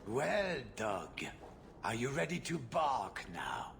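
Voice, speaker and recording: A man's voice: medium pitch, big pitch swings, takes it slowly, gravelly, a bit bright, a little hiss or hum, full character voice. An actor voicing a haughty, hot-tempered, ruthless merchant in a video game, pompous and contemptuous in his formal phrasing. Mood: curt, businesslike. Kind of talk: video game cutscene acting.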